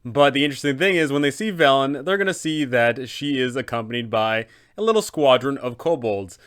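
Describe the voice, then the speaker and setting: Guy explaining tabletop game stuff, informal and chatty, smooth clear voice, medium pitch. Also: expressive, fairly quick, a bit bright. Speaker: host, game master giving advice. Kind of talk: conversational instructional narration.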